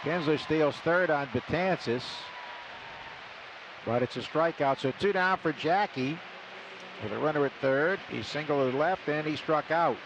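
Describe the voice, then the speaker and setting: A man with a slightly high voice calls a baseball game live on the radio from the booth. His phrases are short and clipped, rising for the big plays. Clear stadium noise runs behind him throughout. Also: measured pace. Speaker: radio baseball play-by-play announcer. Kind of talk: live sports commentary. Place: broadcast booth at an outdoor ballpark. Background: loud ballpark ambience.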